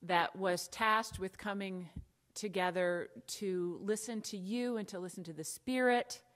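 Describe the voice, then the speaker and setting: A woman's voice: mid-range voice, unhurried, a bit bright. A middle-aged American woman pastor preaching from the pulpit in a church sanctuary, conversational with asides and emphatic lists. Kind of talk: sermon.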